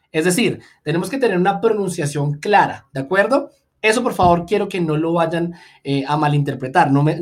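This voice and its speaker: A man hosting a solo podcast lesson for Spanish learners, talking to them in a clear, reassuring, deliberate way. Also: high-pitched. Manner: reassuring, often addresses listeners as "guys". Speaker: podcast host teaching Spanish.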